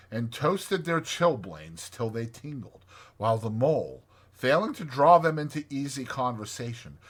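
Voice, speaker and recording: A man reads a storybook as an audiobook narrator. His delivery is expressive and lively in pitch, with a bright, forceful tone and a husky edge.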